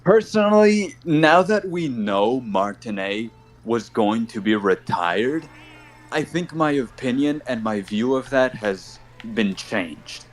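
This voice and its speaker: A man's voice: medium pitch, expressive, takes it slowly. A man with a mixed Colombian Spanish and Brooklyn accent, a native Spanish speaker, chatting casually while gaming and rambling with lots of "you know" fillers. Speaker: tournament host.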